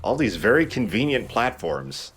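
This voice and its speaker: A man's voice: mid-range voice, big pitch swings, moderate pace, fairly bright tone. A man hosting a let's play, giving casual, joking commentary on a video game. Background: game music.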